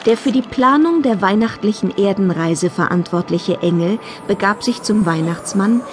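A woman narrating a children's Christmas tale in a measured, wryly humorous storybook style. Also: mid-range voice, noisy background, lightly acted. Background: music.

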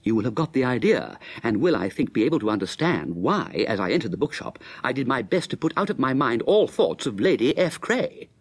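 An actor playing an excitable, amiable, dim upper-class English gentleman in a radio comedy, breezy and exclamatory. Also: medium pitch, brisk, hardly any pauses, slightly rough. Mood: wry, exasperated. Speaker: actor playing lead and narrator.

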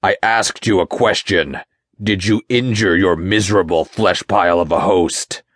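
A man's voice: deep voice, a little slow, fairly bright tone, dry recording, clean studio sound. A man voicing a pompous, menacing Satan, wrathful king of demons, in a dramatic first-person audiobook narration. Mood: menacing, demanding.

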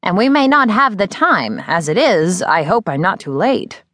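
A brisk, kindly older woman mentor of magic, as a woman narrator puts her on for an audiobook. Her voice is lifted well above the narration and sounds close and dry. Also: mid-range voice, sing-song, fairly bright tone, no room sound, pitched above the performer's own voice. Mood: brisk, matter-of-fact. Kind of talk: audiobook character dialogue.

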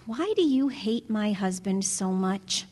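A woman's voice: medium pitch, lively intonation, unhurried, a little mellow, in a large hall. A woman acting an exasperated, outspoken young pregnant daughter-in-law in a radio drama, with a sarcastic edge.

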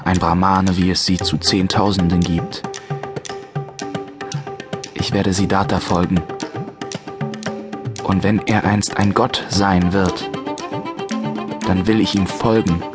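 An actor playing a loyal, humble young friend in a literary radio drama, earnest and resolute. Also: male voice, low-pitched, level tone, slow pace, pauses often. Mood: devoted, resolute. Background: music.

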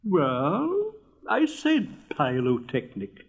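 A man's voice: fairly high pitch, lively intonation, normal speed, darkish tone, muffled recording, close to an everyday voice. A man voicing a vain, pompous, easily offended firework rocket in a storybook reading. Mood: boastful, affronted. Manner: pompous, severe, indignant.

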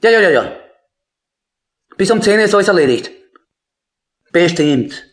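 High-pitched, terse burglar. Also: male voice, sing-song, unhurried. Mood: curt, intent. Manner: terse, businesslike. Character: forty-year-old male burglar making terse phone arrangements. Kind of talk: radio play acting.

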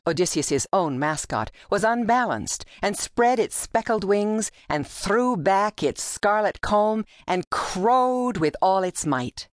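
A woman reads a literary tale as an audiobook narrator. Her voice sits a little low and she takes her time, phrasing each line deliberately. The studio sound is dry and close. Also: no echo. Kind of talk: scripted literary storytelling narration.

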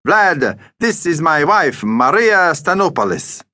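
A man's voice: on the high side, sing-song, slow, sharp tone, dry recording, clean studio sound, pitched above the performer's own voice, full character voice. A man voicing a large, proud Greek shopkeeper in a storybook, effusive and hospitable, speaking in emphatic short sentences. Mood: honored, eager.

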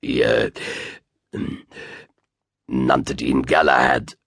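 A man voicing a muddled, well-meaning old wizard in an audiobook, halting and full of ums and chuckles. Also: rumbling low, steady tone, gravelly, fairly bright tone, no room sound, studio quality, voice pushed down, exaggerated voice. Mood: halting, matter-of-fact. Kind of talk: audiobook character voice.

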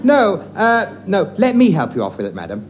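Man acting a fussy high-voiced dress shop lady. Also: wildly swooping pitch, moderate pace, some chatter behind. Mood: flustered, straining. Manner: fussy, hesitant, deferential, patronising. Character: fussy, flustered female dress shop assistant, falsely polite. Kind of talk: 1950s radio comedy sketch. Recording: noisy vintage radio recording, audience.

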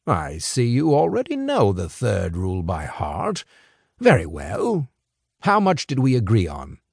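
A man voicing a smooth, scheming older crime boss who talks in a slow, persuasive drawl. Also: fairly low pitch, huge pitch swings, no echo, clean studio sound, full character voice.

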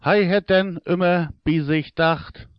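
A man with a Low German accent narrating a fairy tale for children in a deliberate storytelling manner. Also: fairly even intonation, no echo, muffled recording. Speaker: audiobook narrator.

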